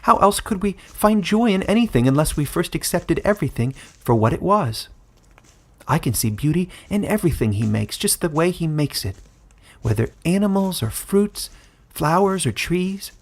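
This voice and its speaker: A man voicing a cheerful small furry male forest creature, gentle and wise, who chatters in a lively way. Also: a little high, normal speed, pitched above the performer's own voice. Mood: joyful.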